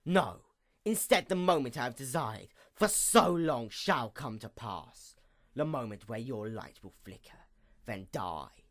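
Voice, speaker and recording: Performer as female pony night goddess, villain monologue: bitter, imperious, formal, hugely varied intonation, mid pitch. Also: lively intonation, unhurried. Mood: cold, menacing. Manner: grandiose, formal, swinging from outbursts to hushed menace. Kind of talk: dramatic reading, theatrical villain monologue.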